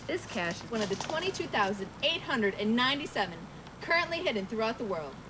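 A woman's voice: on the high side, sing-song, quick pace, background noise. A woman narrating and hosting a television show in a scripted style.

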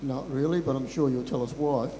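A man's voice: medium pitch, fairly even intonation, a little fast, dark tone. An older man co-presenting a children's talk in church, making dry joking asides. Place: large church hall. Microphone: handheld microphone. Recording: long hall reverb, faint noise.

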